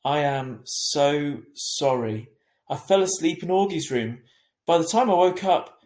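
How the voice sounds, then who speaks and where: A man's voice: a little high, measured pace, darkish tone, roomy sound, voice pushed up, close to an everyday voice. A man voicing a worried, protective mother of a teenager in a storybook reading, fussing and pressing. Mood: apologetic.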